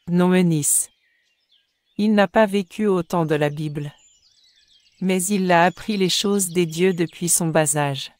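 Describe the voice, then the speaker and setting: A woman's voice: on the low side, a little slow, a little mellow, no room sound, natural voice. A woman voicing a caring, instructive mother in a children's cartoon. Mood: gently instructive.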